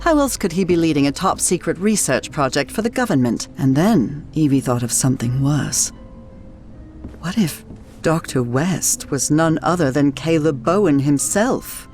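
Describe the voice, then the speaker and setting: A woman's voice: sits low, lively intonation, normal speed, darkish tone. A woman narrating a suspenseful mystery video game in a grave, scripted storyteller style. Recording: music under the voice. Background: background music.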